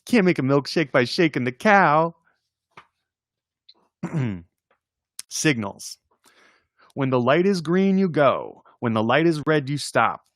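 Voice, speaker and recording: Slow-paced man casually reading children's poems aloud. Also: on the high side, very animated, a bit bright, no room sound, studio quality. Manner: informal, chuckling, chatty asides between readings. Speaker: reader presenting children's poems.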